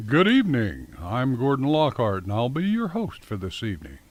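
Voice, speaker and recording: A man hosting and narrating a scripted radio programme in a formal, deliberate, theatrical announcer style. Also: medium pitch, wildly swooping pitch. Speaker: host and narrator. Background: music behind the voice.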